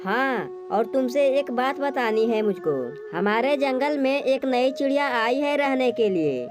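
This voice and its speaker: A sly male crow from a children's cartoon voiced by a woman speaks in a high voice. The bird is greedy and smooth-talking, putting on friendliness. Music plays behind the speech. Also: animated, unhurried. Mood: defensive. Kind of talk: Hindi cartoon moral story acting.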